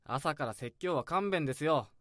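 An actor playing a polite, easygoing young junior coworker in a radio drama. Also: male voice, a little high, lively intonation, normal speed, a bit bright, no room sound, clean studio sound. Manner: polite, easygoing, slightly casual speech. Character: friendly polite young male office junior.

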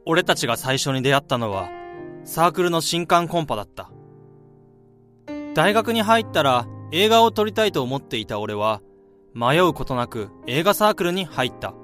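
A young man acting in a radio drama as a steady, caring friend, speaking in a measured, reflective way. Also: on the high side. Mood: nostalgic. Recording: music and crowd sounds behind the voice. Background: music and crowd sounds.

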